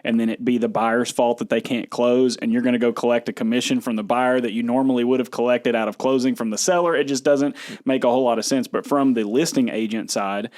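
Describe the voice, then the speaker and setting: A man's voice: medium pitch, steady tone, quick pace, darkish tone. American man explaining law conversationally.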